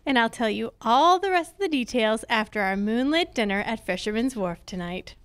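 Woman acting a wry lady detective, higher voice. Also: animated, normal speed, very noisy, lightly acted. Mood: confident, explaining. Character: wry, confident female private detective, Candy Matson. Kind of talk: old-time radio drama acting, read from script. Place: on a stage, live performance. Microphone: handheld-style microphone on a stand.

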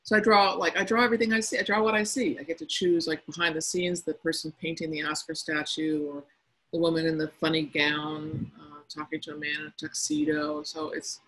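Quiet, husky-voiced middle-aged woman. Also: deep voice, little pitch movement, fairly quick, poor audio. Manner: quiet, soft-spoken tone with frequent hesitations. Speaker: cartoonist speaking to young listeners. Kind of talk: casual reflective talk answering questions. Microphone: video conference call.

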